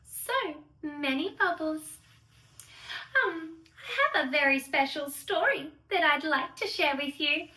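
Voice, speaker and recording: A woman playing a sweet, excitable young fairy who gushes in a sing-song way to little children as she hosts a bedtime story read-aloud. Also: sits high, slow pace, exaggerated voice. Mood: playful, excited. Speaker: children's storyteller and channel host. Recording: roomy echo, distant microphone.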